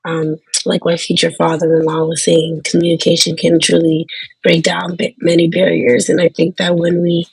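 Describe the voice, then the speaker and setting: A woman's voice: fairly low pitch, completely flat delivery, normal speed, vocal fry, slightly rough, dry recording, rough recording. A woman from Trinidad taking part in an online discussion, chatty and casual, laughing between thoughts. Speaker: participant.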